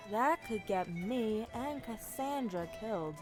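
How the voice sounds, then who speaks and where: A woman's voice: on the high side, animated, slow pace, music in the background. A woman playing a sassy, eager young female assassin in a fantasy audio drama, chatty and snarky. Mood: hostile, wary. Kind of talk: amateur fantasy audio roleplay acting.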